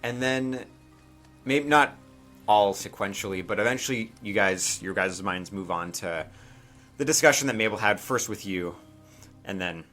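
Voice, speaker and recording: A man with a fairly low, bright voice acts as game master for a tabletop game. He narrates off the cuff in a casual, chatty way and keeps checking that players follow. Faint music plays behind him. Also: moderate pace. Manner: casual, conversational, often checks in with "right?".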